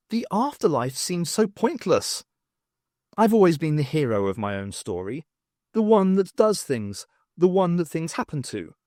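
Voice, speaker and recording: A male audiobook narrator tells a story in a slightly high voice. His pitch moves around a great deal as he gives an expressive, dramatic reading. The sound is very dry, with no room around it. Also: dry recording. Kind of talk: scripted dramatic narration of fiction.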